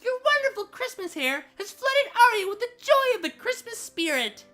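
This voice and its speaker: Woman acting wildly animated, cheeky young woman. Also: extremely high pitch, normal speed, heavily acted. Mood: gleeful. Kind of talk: dramatized fan fiction audio reading. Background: background music.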